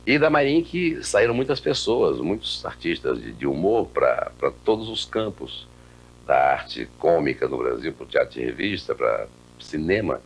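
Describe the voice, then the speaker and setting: A man's voice: rumbling low, very animated, normal speed, slightly rough. An older man with a Brazilian accent, a veteran comedian casually reminiscing in an interview.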